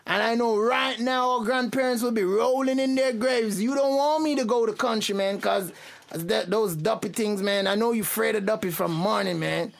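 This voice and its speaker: A man's voice: high voice, moderate pace, creaky. An actor playing a wheedling, defensive male cousin in a radio drama, cajoling his relatives. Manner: cajoling, ending phrases with "man".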